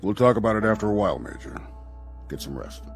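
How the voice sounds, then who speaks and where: A man's voice: on the low side, very animated, quick pace, gravelly, faint music behind. An actor playing a skeptical male general in a radio drama, speaking with curt authority. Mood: skeptical, firm. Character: skeptical, commanding male air force general.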